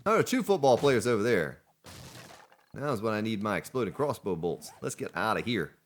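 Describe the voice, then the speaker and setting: A man with a low voice that swings up and down a lot as he chats through a game session, joking along with what happens on screen. Behind him there is a faint hiss of noise. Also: big pitch swings, a little fast. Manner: chatty, jokes at game characters. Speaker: let's play host. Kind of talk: casual gameplay commentary.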